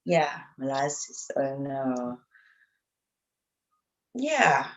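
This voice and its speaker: A woman with a Thai accent, a native Thai speaker, explaining as a guest in halting, broken English. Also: a bass voice, very animated, over a noisy crowd.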